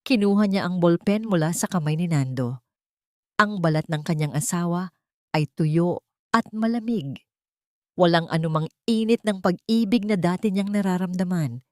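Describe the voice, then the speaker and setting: A woman reading a scripted melodramatic story in a solemn, measured way. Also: fairly low pitch, darkish tone, no room sound, studio quality. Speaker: story narrator. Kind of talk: dramatic scripted narration of a melodrama.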